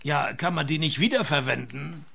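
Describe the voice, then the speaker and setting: An actor playing a sardonic, hard-up male private detective in a radio play, wisecracking in a deadpan way. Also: a little high, moderate pace, vocal fry, slight background noise. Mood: dry, teasing. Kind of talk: radio play dialogue and first-person narration.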